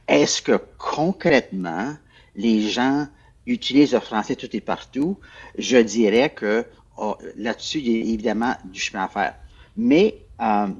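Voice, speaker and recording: Husky low-voiced male guest on a muffled line. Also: a little mellow. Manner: measured, explanatory, hesitant repetitions. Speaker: former official, guest. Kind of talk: interview answers.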